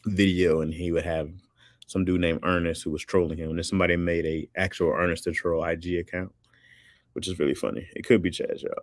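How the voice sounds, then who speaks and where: A man with a notably deep, low voice hosts a casual stream, rambling easily and breaking into frequent laughs. His voice sits close and dry, with no room sound around it. He responds loosely to comments as they come in. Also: no echo. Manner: laid-back, rambling, laughs often. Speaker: livestream host reading chat. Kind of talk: casual chat.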